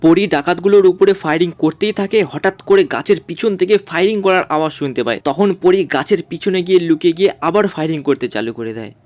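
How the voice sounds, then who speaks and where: A man's voice: on the high side, fast talker, hardly any pauses, a little mellow, no room sound, dull audio. A man narrating a scripted story for a cartoon.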